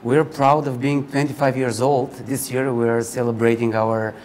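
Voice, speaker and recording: A man from Bulgaria with a Bulgarian accent, giving informative interview answers as a university recruiter, pausing mid-phrase. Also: medium pitch, level tone, darkish tone. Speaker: university recruiter and admissions counselor, interview guest. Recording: clear background ambient noise.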